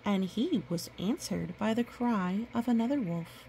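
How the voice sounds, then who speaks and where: A woman reading a picture book aloud to children, gentle and nurturing, with chatty asides. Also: mid-range voice, expressive, a little slow, gravelly, slight background noise, low-quality recording.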